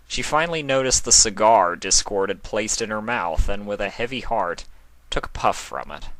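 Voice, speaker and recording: A man reading fan fiction aloud as narrator, in an expressive scripted storytelling style. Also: sits low, no echo, poor audio.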